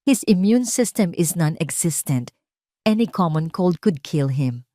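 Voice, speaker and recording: A woman's voice: fairly low pitch, expressive, a little slow, dark tone, no echo, clean studio sound. Woman voicing a terse, desperate male doctor. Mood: bitter, cold. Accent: Filipino. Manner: terse, insistent, commanding.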